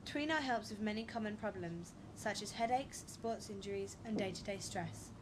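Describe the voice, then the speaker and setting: A woman reading scripted documentary narration in a measured, clear and informative way. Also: mid-range voice, background noise. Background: loud background music.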